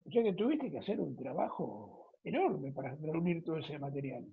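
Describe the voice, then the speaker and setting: Raspy Argentine male interviewer. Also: on the high side, big pitch swings, normal speed. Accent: Argentine Spanish. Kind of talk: conversational interview questions. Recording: thin phone-like line.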